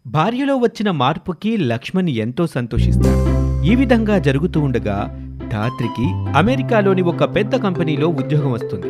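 A man's voice: on the high side, very animated, moderate pace. A man reading scripted story narration as the narrator. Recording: music under the voice. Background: background music.

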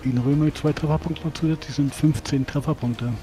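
A man's voice: a little high, steady tone, a little fast, warm tone. A man chatting casually as he works out numbers in a tabletop game. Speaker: tabletop role-playing player. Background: music.

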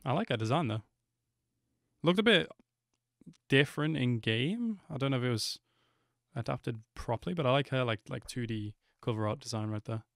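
A man's voice: medium pitch, animated, moderate pace, creaky, long pauses, a bit bright, no room sound. A man in his twenties giving slangy, offhand reaction commentary into a close desk microphone. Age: 20s. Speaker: reaction video host.

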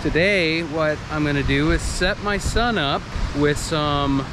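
A man's voice: a little high, a little slow, background noise. A middle-aged man talking casually as an outdoor fishing vlogger, recorded on an action camera at the beach.

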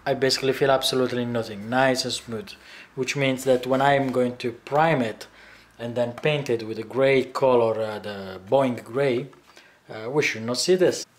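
A man in his forties giving a casual hands-on workshop tutorial, haltingly thinking aloud as he goes. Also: mid-range voice, steady tone. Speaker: DIY video maker. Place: indoor home workshop. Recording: echoey room, faint background noise.

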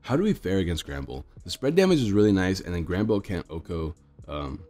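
A man's voice: deep voice, big pitch swings, a little fast, warm tone, faint music behind. A young man with a stuffed-up nose casually explaining his card deck to viewers, rambling and talking to them as guys. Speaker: card game streamer. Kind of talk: casual deck tech commentary.

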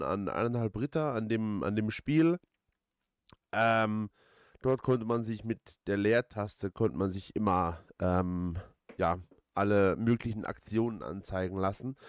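Guy doing a lets play, lowish voice, dry mic, halting and repeats himself a lot while thinking out loud. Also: no echo. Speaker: let's player. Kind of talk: casual, rambling game commentary.

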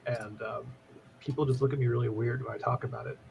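A man's voice: mid-range voice, steady tone, quick pace, vocal fry, a little mellow. A man, chatting casually as co-host on a live stream. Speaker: co-host, developer advocate. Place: indoor room, on a video call. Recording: dull, noisy webcam audio.

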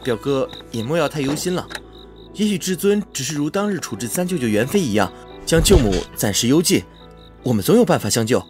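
A man playing a loyal, impulsive young noble cousin in a historical audio drama, earnest and expressive. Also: medium pitch, brisk, music in the background, natural voice. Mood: reassuring.

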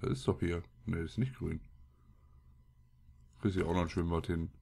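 Low-voiced man with a cold. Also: normal speed, vocal fry, warm tone, breathy edge. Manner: colloquial, muttering to himself. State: has a cold, scratchy throat. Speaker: let's play host. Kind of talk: casual gameplay commentary.

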